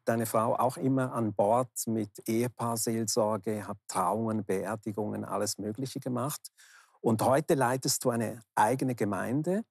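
A man in his fifties hosting a talk, asking questions conversationally with searching, halting phrasing. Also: on the low side, steady tone, vocal fry, breathy edge, dry recording, studio quality. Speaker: talk show host, interviewer. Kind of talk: conversational interviewing. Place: large indoor bar hall, seated interview. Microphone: lapel microphone.

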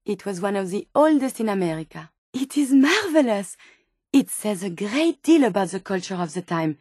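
A woman's voice: medium pitch, very animated, normal speed, a little mellow, slightly rough, no room sound, studio quality. A woman voicing a polite, earnest female robot scientist companion in a video game, with a French accent and precise, formal, inquisitive speech. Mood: curious.

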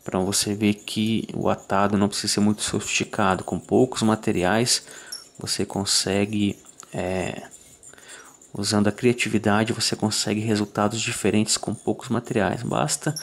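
A Brazilian man calmly walking through a fly tying tutorial, checking in with listeners after each step. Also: on the low side, moderate pace. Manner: calm, methodical, ends phrases with "tá?".

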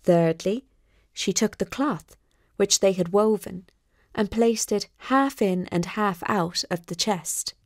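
A woman narrating a scripted bedtime story with measured, deliberate, dramatic phrasing. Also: medium pitch, no echo, clean studio sound.

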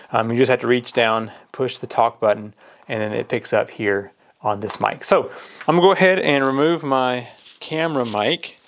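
A man's voice: mid-range voice, a little hiss or hum. Casual American man reviewing gear. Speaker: product reviewer. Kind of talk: casual hands-on product review.

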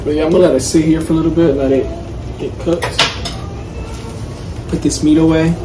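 A man's voice: a little high, steady tone, brisk, background noise. A young man in his twenties giving laid-back cooking tips in a casual home-kitchen chat. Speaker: cooking vlog co-host. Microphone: camera on the counter at a distance. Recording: distant camera mic, kitchen clatter.

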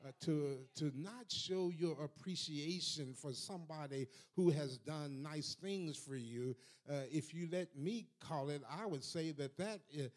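Older preacher guy on a handheld mic in a church, slow deliberate delivery, gets fired up and repeats himself. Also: on the high side. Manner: slow and measured, building to fervent rising repetition. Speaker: pastor. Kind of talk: sermon. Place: church sanctuary. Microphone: handheld microphone.

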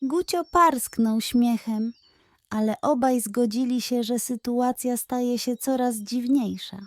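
A woman narrates a children's story in a fairly high voice. She takes her time over the scripted lines, and the recording is close and dry. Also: takes it slowly, dry recording.

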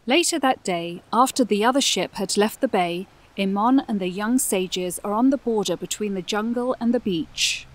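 A woman's voice: medium pitch, moderate pace, few pauses. A woman reading scripted narration for a fantasy audio drama.

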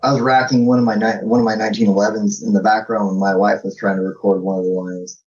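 Husky-edged man chatting over lo-fi audio. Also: fairly low pitch, level tone, quick pace, darkish tone. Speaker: podcast guest and voice performer. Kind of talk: casual chat. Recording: slightly dull, lo-fi audio.

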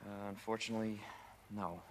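A man's voice: on the low side, fairly even intonation, a little fast, a little hiss or hum, natural voice, low-quality recording. An American actor playing an earnest, protective young policeman in a cinematic video game. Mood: warm, reassuring. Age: young adult. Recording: loud game sound effects behind.

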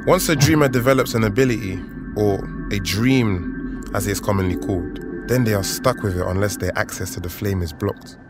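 An actor playing a patient, knowing older mentor, explaining things in a comic drama. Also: male voice, fairly low pitch, normal speed, loud music behind the voice, close to an everyday voice. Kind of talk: expository comic voice acting.

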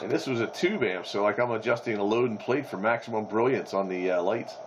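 A man's voice: on the low side, a little fast. A middle-aged or older man chatting casually and jokingly over CB radio. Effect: voice through CB radio. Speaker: CB radio operator. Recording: muffled, very noisy CB radio.

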